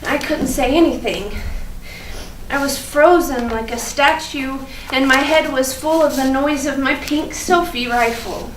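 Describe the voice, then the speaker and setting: A woman playing an innocent, chatty but fearful first-grade girl in a theatrical monologue, halting and repeating her words. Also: sits high. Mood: frightened. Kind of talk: theatrical monologue at a staged reading. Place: indoor room at a live staged reading. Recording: roomy, distant, noisy live stage recording.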